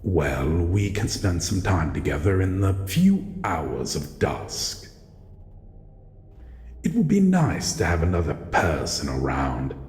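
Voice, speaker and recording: A man voicing a solitary shadow monster in an audio roleplay, guarded but courteous, speaking in a formal, measured way. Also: very deep voice, exaggerated voice. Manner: slow, formal, measured, calls listener human. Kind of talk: scripted audio roleplay addressed to the listener. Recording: echoey, long reverb, faint noise.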